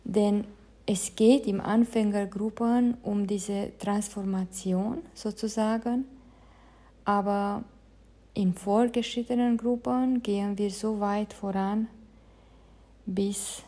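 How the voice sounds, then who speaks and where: A woman who teaches meditation explains her classes in careful, measured speech, as a non-native speaker of the language. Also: medium pitch, dark tone. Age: adult, past her teens. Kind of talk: calm spoken introduction to meditation.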